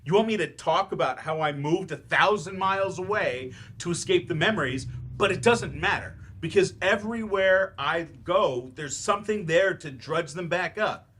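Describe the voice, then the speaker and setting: A man's voice: high voice, moderate pace, few pauses, fairly bright tone, slight background noise. An actor in a staged play reading, playing a bitter, grieving, sarcastic man who teaches remedial English and speaks defensively and emphatically. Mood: anguished, angry.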